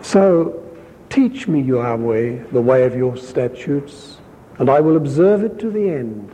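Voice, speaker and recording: Guy reading bible verses real slow and measured, stopping to explain stuff, long echo like a big hall. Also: medium pitch, animated, warm tone, a little hiss or hum. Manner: deliberate, measured reading pace, explaining aloud to listeners. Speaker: Bible teacher. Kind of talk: slow scripture reading with brief commentary.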